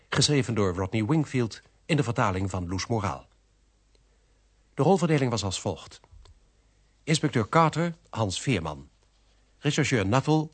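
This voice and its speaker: Male radio drama announcer, formal and measured scripted read, slightly husky voice, animated intonation. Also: fairly low pitch. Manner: formal, measured list reading. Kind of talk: scripted closing credits announcement for a radio play.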